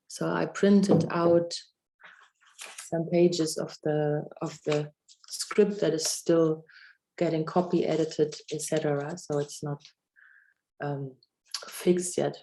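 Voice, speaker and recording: A low-pitched woman with a German accent, her tone mellow and soft-edged, who starts out a bit halting before settling into a steady reading of her own prose. She is heard through a video call. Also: gravelly, slight background noise, rough recording. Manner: hesitant, restarts when speaking freely. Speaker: author giving a reading. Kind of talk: reading aloud from a novel and chatting.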